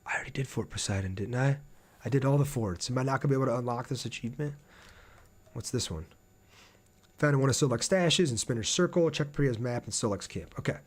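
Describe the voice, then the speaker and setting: A man's voice: medium pitch, lively intonation, fast talker. A man livestreaming a video game, chatting casually with viewers and thinking aloud as he plays. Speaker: game streamer. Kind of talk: casual livestream gameplay commentary with chat replies.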